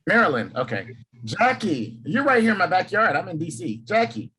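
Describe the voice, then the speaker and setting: A man's voice: high voice, very animated, normal speed, sharp tone. A man from Los Angeles hosting an online workshop, cheerfully calling on each participant by name. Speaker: workshop host. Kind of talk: video call introductions. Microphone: video call.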